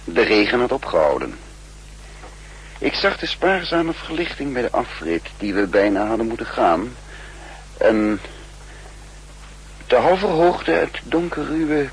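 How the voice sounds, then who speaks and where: An actor playing a shrewd, weary spymaster on the run in a 1960s radio drama, speaking deliberately and broodingly. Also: male voice, medium pitch, natural voice, rough recording. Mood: sober narration. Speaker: lead actor and first-person narrator. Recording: humming, hissy 1960s radio recording.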